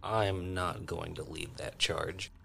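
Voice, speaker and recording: A man with a deep voice full of fry speaks as a player in a tabletop game. He is low-key and matter-of-fact when saying what he will do. A little noise is audible in the background. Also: fairly even intonation, slow, fairly bright tone. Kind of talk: casual in-game table talk.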